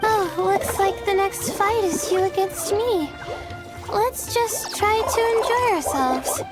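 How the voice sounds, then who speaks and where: A woman voicing a gentle, modest, earnest teenage girl in a video game, slightly hesitant and friendly. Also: extremely high pitch, takes it slowly. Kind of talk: animated video game voice acting. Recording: music behind the voice.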